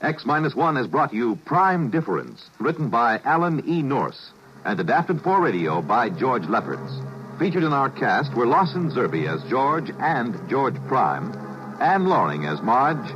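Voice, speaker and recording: A man reading as a closing announcer in a formal, scripted 1950s radio style. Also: mid-range voice. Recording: dull 1950s radio recording.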